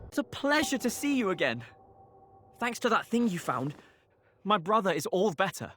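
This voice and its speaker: An actor playing an earnest, grateful young aspiring warrior in a video game, friendly and sincere. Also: male voice, high-pitched, very animated, normal speed, fairly bright tone, slight background noise. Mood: grateful, cheerful. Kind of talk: video game cutscene acting.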